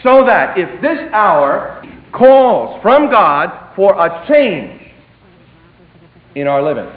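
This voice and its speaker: Male preacher, high and very animated voice, emphatic with sudden hushed drops, echoey hall. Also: slow. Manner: emphatic, dramatic swings between loud and hushed delivery. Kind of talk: sermon. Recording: echoey hall, tinny phone-like sound.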